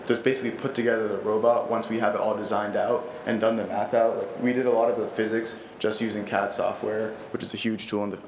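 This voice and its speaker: Earnest teen boy presenting in an echoey hall. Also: mid-range voice. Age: teens. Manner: earnest, eager, with frequent fillers and restarts. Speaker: student robotics team presenter. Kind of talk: school board presentation and Q&A. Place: large school library meeting room, indoors. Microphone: standing at a lectern microphone. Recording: echoey room with faint background noise.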